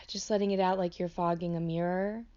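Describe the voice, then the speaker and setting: Woman, meditation teacher, lowish pitch, gentle invitational phrasing, slightly muffled sound. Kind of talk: guided meditation, unscripted spoken instruction.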